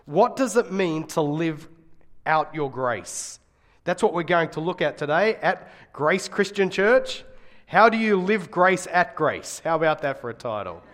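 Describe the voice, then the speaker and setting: A middle-aged man with a slightly raspy voice giving a conversational sermon, speaking earnestly and stressing key phrases. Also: a little high, animated, a little slow, fairly bright tone. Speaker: guest preacher and Bible college teacher.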